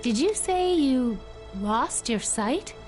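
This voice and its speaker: A woman's voice: high-pitched, animated, slow pace, soft background music. A young woman playing a plucky teenage village girl in a video game, casual and inquisitive. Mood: curious.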